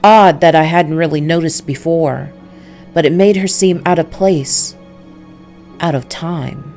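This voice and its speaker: A woman voicing a weary, wary male noir antihero, narrating a visual novel in a brooding, measured way. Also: low voice, a little mellow, soft background music.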